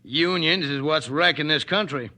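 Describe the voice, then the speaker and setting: A man's voice: on the high side, normal speed, bright, forceful tone. An actor playing a surly, suspicious small-town gas station man with a rustic American accent in a 1940s radio play. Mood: resentful. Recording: noisy 1940s radio broadcast recording.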